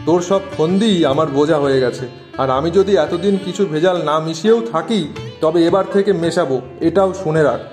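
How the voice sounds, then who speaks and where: A man plays a greedy village shopkeeper in a cartoon, crooked and quick to anger. His fairly high voice is scornful and combative, with music playing faintly underneath. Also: darkish tone, lightly acted. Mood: angry, defiant. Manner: belligerent, scornful. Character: dishonest, hot-tempered, greedy male village shopkeeper. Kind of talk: cartoon voice acting.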